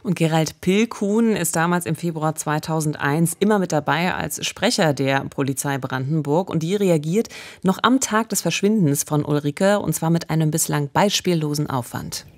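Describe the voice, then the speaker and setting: A woman's voice: deep voice, normal speed, a little mellow. Woman in her thirties hosting true crime. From eastern Germany. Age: 30s. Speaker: true-crime podcast co-host. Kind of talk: conversational podcast narration. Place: wood-panelled radio studio. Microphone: close studio mic with pop filter.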